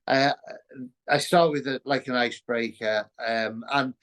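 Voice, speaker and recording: A man hosting a casual interview, speaking in a hesitant, halting way. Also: medium pitch, fairly even intonation, a bit bright. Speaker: interview host.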